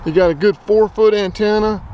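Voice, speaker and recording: A man's voice: high voice, steady tone, brisk, dark tone, highs cut off, a little hiss or hum. A man giving a casual, plain-spoken product review as a channel host.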